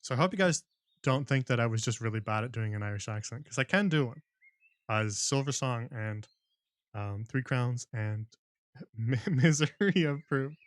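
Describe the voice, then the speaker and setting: A man's voice: medium pitch, moderate pace, dry recording. A man hosting a livestream book club, chatting with viewers and laughing often before reading a novel aloud.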